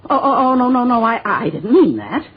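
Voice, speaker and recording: An American actress playing a bitter, greedy middle-aged spinster schoolteacher with shrill, spluttering indignation in a 1950s radio drama. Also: female voice, big pitch swings, fairly quick, noisy background. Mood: flustered, backpedaling. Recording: dull, lo-fi old radio recording.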